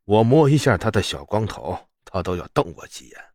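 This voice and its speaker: A man's voice: on the low side, big pitch swings, moderate pace, darkish tone, no echo, studio quality. A man playing a sly, shameless prince posing as a monk, teasing and theatrically aggrieved in a period audio story.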